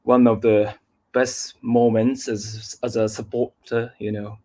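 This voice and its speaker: A man's voice: mid-range voice, flat delivery, slow pace, dark tone. Polite man speaking careful English. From Japan. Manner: hesitant, searching for words, polite. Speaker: guest fan. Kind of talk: casual interview chat.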